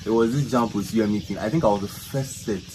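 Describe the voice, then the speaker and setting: A young man from Nigeria speaks with a very low, deep voice, hesitating and filling his sentences with small words as he searches for the right phrasing. He is interviewed outdoors at a scenic park. Loud outdoor noise sits behind him. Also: quick pace. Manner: hesitant, heavy use of fillers. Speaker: guest, medical student.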